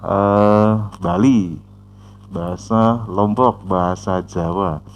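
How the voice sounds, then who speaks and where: Low-voiced man explaining with many fillers. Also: fairly even intonation. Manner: measured, explanatory, frequent "eh" fillers and "ya" tags. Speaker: reaction channel host. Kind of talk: commentary on a video.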